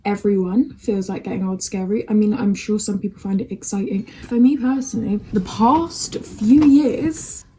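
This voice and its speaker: A woman's voice: medium pitch, normal speed, hardly any pauses, mellow, rough recording. A nineteen-year-old woman with a British accent, chatting candidly to camera in a rambling, frank way with casual swearing. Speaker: vlogger.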